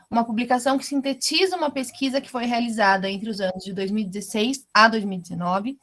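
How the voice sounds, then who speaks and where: Woman hosting a book launch, steady mid voice, lots of little "né"s. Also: medium pitch. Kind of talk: introducing a guest speaker.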